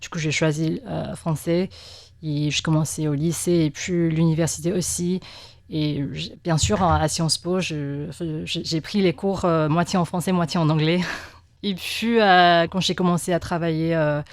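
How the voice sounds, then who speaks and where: A woman with a Taiwanese Mandarin accent speaks in a fairly low voice, with many fillers and restarts. She sounds like a second-language speaker answering interview questions. The recording is dry and close, like a studio mic. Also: fairly even intonation, normal speed, no echo. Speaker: guest press cartoonist.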